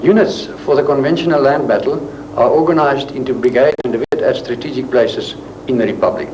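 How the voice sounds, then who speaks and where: A man giving a formal, deliberate scripted military briefing on dull, roomy old film sound. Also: mid-range voice, background noise.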